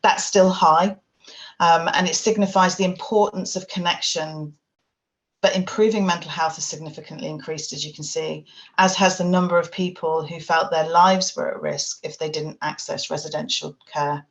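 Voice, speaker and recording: A woman delivers a webinar presentation in a low, even voice that barely rises or falls. Her tone has a gravelly, fry-edged texture, and she speaks in a steady, measured way, as if working through prepared remarks. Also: low-quality recording. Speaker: charity chief executive presenting.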